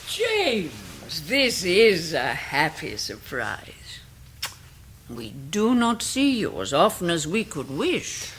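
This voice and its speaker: A woman's voice: sits low, huge pitch swings, slow pace, a bit bright, a little hiss or hum, full character voice. An actress playing a proud, stately elderly upper-class English lady and doting mother in a radio drama, formal and imperious. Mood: stately, welcoming. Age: older. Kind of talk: 1990s radio drama acting.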